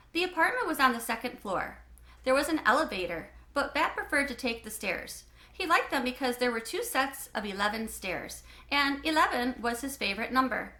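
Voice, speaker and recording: A woman reads a children's story aloud in a high, bright voice. Her delivery is animated and clearly phrased, with lots of melodic movement, in a roomy, echoey space. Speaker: read-aloud host. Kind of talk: expressive children's book narration.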